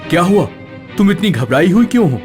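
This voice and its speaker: Emphatic cartoon husband, highish male voice, acted. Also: sing-song, a little fast, a little mellow, faint music behind. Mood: scolding. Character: village husband, scolding and anxious young married man. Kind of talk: animated story dialogue.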